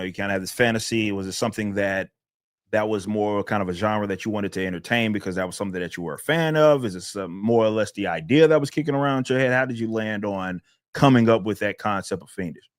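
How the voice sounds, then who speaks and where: A man hosting a casual interview, rambling, with many halting restarts. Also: lowish voice, no room sound, studio quality. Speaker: host.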